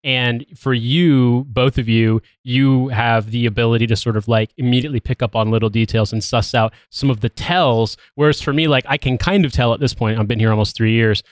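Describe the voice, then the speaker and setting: A man with a Midwestern American accent, talking in a loose, rambling way with plenty of restarts. His voice sits at an ordinary pitch and is recorded dry and close, with no room sound at all. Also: steady tone, fairly quick, hardly any pauses, dry recording. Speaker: podcast co-host. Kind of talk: casual chat.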